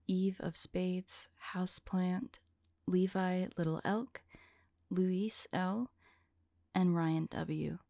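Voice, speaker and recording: A woman's voice: lowish voice, vocal fry, mellow, dry recording, clean studio sound. A woman hosting her own audio channel, talking casually to her listeners in an outro. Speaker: channel host and voice performer.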